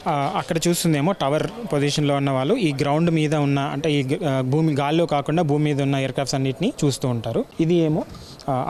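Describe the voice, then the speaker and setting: A man with an Indian accent explaining technical work in an interview, speaking haltingly and mixing in English terms. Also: on the high side, darkish tone. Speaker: air traffic controller explaining his work.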